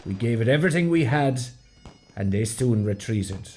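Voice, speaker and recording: An actor playing an Irish veteran officer who recounts a battle in a radio play, grave and measured, with an Irish accent. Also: male voice, mid-range voice, animated, darkish tone, slightly rough, soft background music. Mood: intense. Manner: measured, storytelling. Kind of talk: dramatic first-person radio narration.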